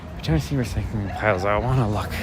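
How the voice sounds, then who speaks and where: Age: adult. Sex: man. Quality: raspy. Style: soft-spoken walking vlog. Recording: street traffic noise. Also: on the low side, very fast. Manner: casual, chatty, talks to viewers while walking. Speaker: walking vlogger and livestreamer. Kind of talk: soft-spoken rambling walk-and-talk commentary. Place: city street outdoors at night. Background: city street ambience and vehicles.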